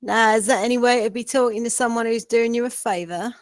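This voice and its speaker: A woman's voice: fairly high pitch, steady tone, brisk, no room sound, close to an everyday voice. A woman playing a sneering, mocking gangster river rat boss in slangy tough talk at a script table read. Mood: mocking menace. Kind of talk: table-read character acting.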